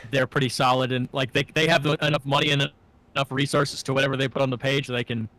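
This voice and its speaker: A man's voice: mid-range voice, little pitch movement, quick pace, a bit bright, dry recording. A young man co-hosting a podcast in casual chat, rambling and often restarting his sentences. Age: young adult. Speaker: podcast co-host and student filmmaker.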